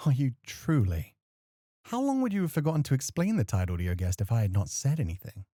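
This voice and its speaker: A man acting as a refined, scholarly club host in a flirty ASMR roleplay, speaking formally with playful, gentle chiding. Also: mid-range voice, big pitch swings, quick pace, dry recording, studio quality. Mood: teasing.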